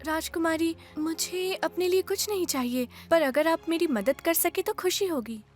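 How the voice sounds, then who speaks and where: Very high-voiced earnest cartoon girl. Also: normal speed. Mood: earnest, grateful. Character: brave, earnest young girl in a cartoon adventure. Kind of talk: Hindi cartoon dub.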